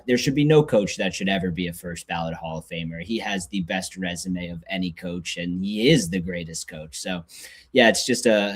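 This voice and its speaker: Low-voiced young man talking sports. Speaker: podcast guest, sports fan commentator. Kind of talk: casual sports analysis chat.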